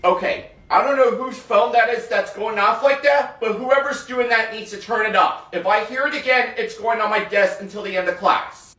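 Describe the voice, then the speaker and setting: A man's voice: fairly high pitch, expressive, moderate pace. A man playing a strict schoolteacher. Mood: stern, scolding. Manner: stern and scolding, then soft and consoling. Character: strict but caring male schoolteacher. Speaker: teacher in a classroom skit. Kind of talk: comedic classroom sketch. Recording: roomy echo, distant mic.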